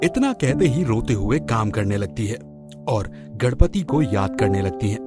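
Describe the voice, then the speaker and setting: Male narrator for cartoon moral tales, lively swooping delivery, clear background music. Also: fairly low pitch, moderate pace. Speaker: story narrator. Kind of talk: expressive scripted storytelling narration.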